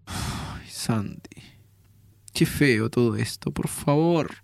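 An actor playing a sympathetic, curious man visiting his friend in an audio drama, with a Rioplatense accent and an informal, expressive delivery. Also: mid-range voice, slow, vocal fry, no echo. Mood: saddened, consoling. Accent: Rioplatense Argentine. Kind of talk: naturalistic audio drama dialogue.

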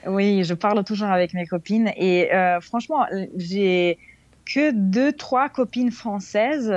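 A woman's voice: medium pitch, takes it slowly, dry recording, lo-fi sound. Hesitant young woman from Serbia. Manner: hesitant, searching for words in a second language. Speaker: interviewee, former exchange student. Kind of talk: interview answers.

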